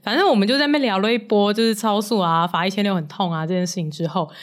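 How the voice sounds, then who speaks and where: Taiwanese woman, mid-pitched voice, casual podcast banter, blunt and jokey, quick to laugh, close mic. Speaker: podcast co-host. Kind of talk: casual chat and storytelling. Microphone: close stick microphone.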